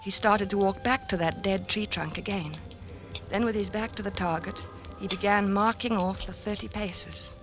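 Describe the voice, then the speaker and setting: An actress playing an anxious, devoted wife who narrates an old-time radio drama. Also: female voice, medium pitch, moderate pace, over music, natural voice. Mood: grave narration.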